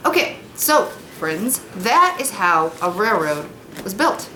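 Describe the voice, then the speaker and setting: A woman's voice: mid-range voice, very animated, slow, bright, forceful tone, reverberant, poor audio. Woman teaching, noticeable background noise. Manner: informal, explanatory, speaks simply to children. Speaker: elementary school teacher. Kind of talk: read-aloud lesson with casual explanation to pupils.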